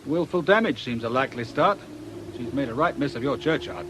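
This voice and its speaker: An actor playing a shrewd British police inspector in a radio drama, with a dry, colloquial, knowing manner. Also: male voice, lively intonation, fairly quick, natural voice. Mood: dry, explanatory. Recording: noisy outdoor ambience, vehicle rumble.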